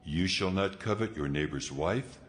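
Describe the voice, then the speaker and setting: Man voicing a very deep, solemn God. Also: normal speed, noisy background, close to an everyday voice. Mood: solemn command. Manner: solemn, declaratory. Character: God, stern commanding male deity giving laws. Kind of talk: dramatized scripture audio. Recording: music behind the voice.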